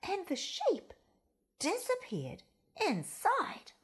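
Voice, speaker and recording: A woman reading a children's story aloud in a dramatic, deliberate way, with hushed emphasis. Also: extremely high pitch, huge pitch swings.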